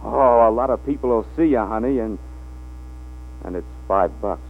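An actor playing an easygoing, kindly movie-studio pal in a 1940s radio drama, on a tinny, humming recording. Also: male voice, fairly low pitch, moderate pace, natural voice. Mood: eager.

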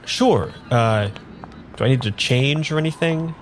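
A man playing an awkward, unsure amnesiac in an improvised audio drama, halting in dialogue and reflective as he narrates. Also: medium pitch, slight background noise, natural voice. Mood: awkward, eager. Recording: music behind the voice.

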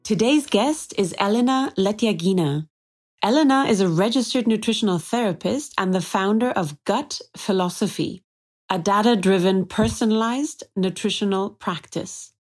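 A woman hosts a podcast and opens with an eager scripted introduction of her guest. She then moves on to welcoming, upbeat questions. Her pitch sits in a plain middle range. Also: moderate pace. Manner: enthusiastic, welcoming. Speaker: podcast host.

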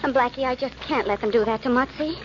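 An actress playing a spirited, worried girlfriend of a sleuth in a 1940s radio drama, brisk and earnest, on a muffled old recording. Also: sits high, a little hiss or hum, lightly acted. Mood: anxious.

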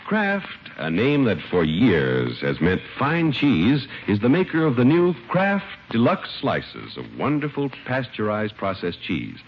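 A man's voice: medium pitch, huge pitch swings, a little slow, hardly any pauses, a little hiss or hum. A man announcing in polished, emphatic, upbeat 1950s radio style, scripted and salesmanlike. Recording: dull old radio recording. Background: music.

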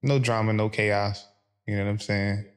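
A man from Philadelphia, speaking as a podcast guest in a calm, measured way with frequent pauses. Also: fairly low pitch, clear, resonant tone. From Philadelphia, USA. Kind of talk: reflective interview conversation.

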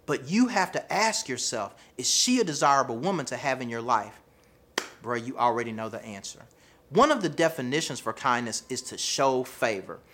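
Lively man with a Southern accent. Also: medium pitch, normal speed, a little hiss or hum. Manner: lively, addresses viewers as fam and y'all. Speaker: charisma coach. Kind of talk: scripted self-help video.

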